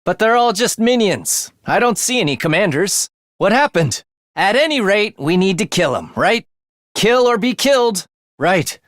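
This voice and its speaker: A man's voice: high-pitched, big pitch swings, normal speed, a bit bright, no room sound, clean studio sound. A man voicing an eerie, bloodthirsty young male student in a video game, with a sinister, theatrical manner that savors morbid details. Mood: urgent. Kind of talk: video game character voice acting.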